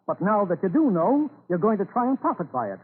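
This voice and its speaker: A man's voice: high voice, very animated, quick pace, breathy edge, exaggerated voice. A man acting in a 1930s radio drama as an earnest, indignant lumber buyer standing up for his townspeople. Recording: scratchy narrow-band old radio recording.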